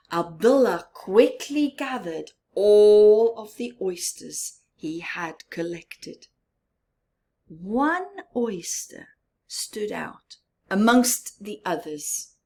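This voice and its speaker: A woman reading a picture book aloud to young children, dramatic and expressive, with simple asides and questions to the listener. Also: mid-range voice. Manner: slow, simple child-directed phrasing. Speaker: storyteller for children.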